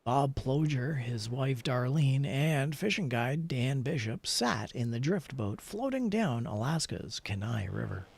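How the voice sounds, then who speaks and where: A man's voice: medium pitch, lively intonation, unhurried, creaky, few pauses, a little mellow, slightly rough, no echo. A man streaming a game, switching between playful chatter and theatrical story read-aloud.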